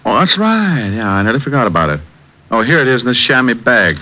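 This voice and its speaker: An actor playing a tough, wry American private detective in an old-time radio drama, hard-boiled and wisecracking. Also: male voice, medium pitch, very animated, fairly quick, close to an everyday voice. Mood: brisk, teasing. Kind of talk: old-time radio detective acting and first-person narration. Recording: muffled vintage radio broadcast recording.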